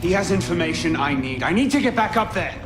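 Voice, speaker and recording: An actor playing a young, determined adventurer in a video game, speaking in a wry, clipped manner. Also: male voice, a little high, lively intonation, fast, natural voice. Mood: tense. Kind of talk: video game cinematic acting. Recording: background music under the voice.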